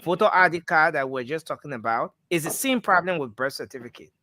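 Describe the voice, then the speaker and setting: A man's voice: on the high side, a little fast, bright, forceful tone, a little hiss or hum. A man hosting a live call-in audio discussion. He repeats words and cuts in to steer the talk. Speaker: host.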